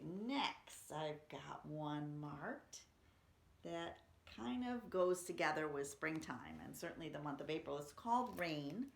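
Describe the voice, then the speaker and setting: Slow-paced woman reading poems in an echoey room. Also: fairly low pitch, gravelly. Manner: simple, explanatory, expressive when reading aloud. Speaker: library story-time reader. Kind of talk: poetry reading for children, chatting between poems. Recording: roomy, echoey room sound.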